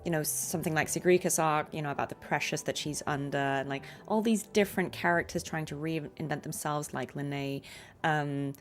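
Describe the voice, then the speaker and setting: Female game streamer, low register, reflective and encouraging, informal commentary with music behind. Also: fairly even intonation, normal speed. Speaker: streamer and voice actor. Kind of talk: casual game livestream commentary.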